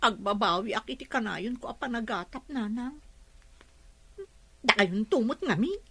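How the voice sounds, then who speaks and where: Radio drama: regretful young wife, complaining, raspy edge, dry studio sound. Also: female voice, a little high, expressive, moderate pace, fairly bright tone, dry recording, exaggerated voice. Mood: fretful, regretful. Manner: fretful, plaintive.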